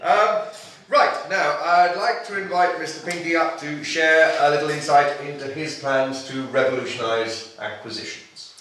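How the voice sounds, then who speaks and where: Man as officious male boss, polite, formal, bright lively delivery, echoey distant recording. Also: fairly high pitch, moderate pace. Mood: smooth, formal. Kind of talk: table read of a short film script. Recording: very echoey room, distant mic, some noise.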